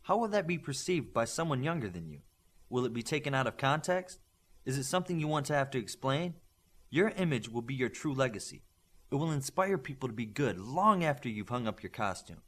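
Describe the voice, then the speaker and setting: A male narrator plays a stern mentor of young superheroes in an audiobook. He lectures firmly and with principle, leaning on rhetorical questions, his mid-pitched voice rising and falling emphatically. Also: sing-song, brisk. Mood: stern, lecturing. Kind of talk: audiobook character dialogue.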